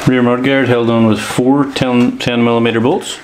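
A man narrating hands-on repair work in an unscripted, matter-of-fact way, pausing between steps as a mechanic does. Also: mid-range voice, level tone. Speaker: bike mechanic and channel host. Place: indoor workshop. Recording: clear workshop noise, clanking tools.

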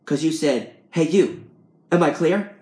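A man's voice: a little high, expressive, unhurried, a little mellow, voice pushed up. A man voicing a hapless, cheeky everyman in a comic story, protesting in exasperation. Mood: exasperated. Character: hapless, cheeky man narrating his misadventures. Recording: roomy, echoing room sound.

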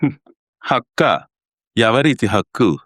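A man's voice: mid-range voice, animated, slow pace, fairly bright tone, no room sound, clean studio sound. A man playing a stern, blunt elderly father in a story, with a commanding, reproachful manner.

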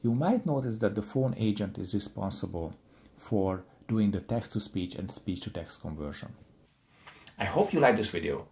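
A middle-aged man narrates a software tutorial. His voice is low and soft-edged, with very lively pitch movement as he explains each step deliberately. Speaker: presenter.

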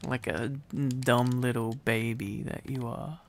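Needy silly boyfriend, acted by a man, ASMR roleplay, affectionate teasing, unhurried rambling, creaky voice. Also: medium pitch, fairly even intonation, a little hiss or hum. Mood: playful, rambling.

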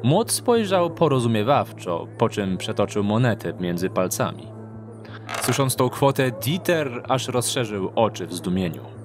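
A man's voice: medium pitch, big pitch swings, unhurried. A man reading scripted fantasy story narration as the narrator of an audio drama. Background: music.

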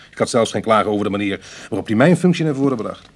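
An actor playing a wry, sceptical ex-burglar in a radio drama, husky and brooding, with hesitant conversational delivery. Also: male voice, on the low side, animated, a little fast. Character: wry, sceptical male ex-burglar on a prison committee. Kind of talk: Dutch radio drama acting.

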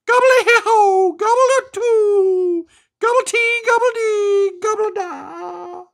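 A man's voice: extremely high pitch, slow, vocal fry, a bit bright, pitched above the performer's own voice, heavily acted. A man voicing a jolly, sweet-natured turkey who warbles gobbles and speaks in an earnest, singsong way in a children's story. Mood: joyful, grateful.

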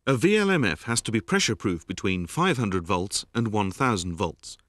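A man giving scripted, measured narration for a factory training film, recorded as a studio voice-over. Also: on the low side, sing-song, a bit bright, no echo. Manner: measured, explanatory. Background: background music.

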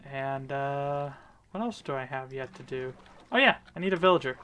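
A man's voice: medium pitch, animated, moderate pace, a bit bright, clean tone. A man giving casual, rambling commentary over his gameplay, with lots of fillers. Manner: rambling, many fillers, upbeat. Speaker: gaming video creator.

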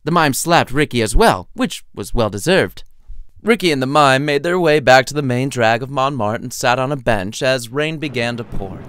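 A man's voice: mid-range voice, lively intonation, moderate pace, fairly bright tone, smooth, no room sound. A man reading his own comedic short story in a wry, theatrical narrating style.

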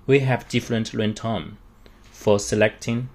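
A man giving an unscripted product walkthrough in halting, short phrases with non-native English grammar. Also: on the low side, fairly even intonation, darkish tone. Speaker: product demonstrator.

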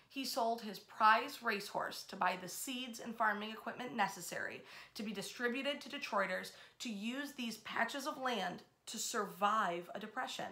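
A woman in her thirties with a fairly high, very bright and forward voice. She tells history informally to camera as a host, with expressive emphasis, speaking in a small bedroom. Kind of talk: informal storytelling to camera, part read aloud.

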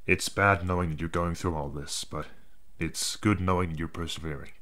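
A man's voice: sits low, steady tone, normal speed, bright, forceful tone. A man voicing a stern but reasonable male cartoon animal in a fan audio drama. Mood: solemn, forgiving.

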